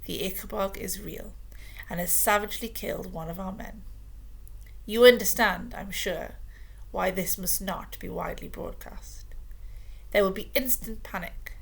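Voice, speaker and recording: A woman voicing a scheming, smooth-talking male royal adviser, low and confiding, slow and emphatic. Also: low-quality recording.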